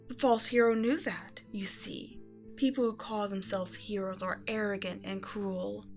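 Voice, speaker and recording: A woman plays a proud evil empress and doting mother in a bedtime audio roleplay. Her voice sits at a medium pitch for a woman, and she tells her tale with measured, self-righteous regal poise. Soft music runs underneath the storytelling. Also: fairly even intonation, lightly acted. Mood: haughty, indulgent.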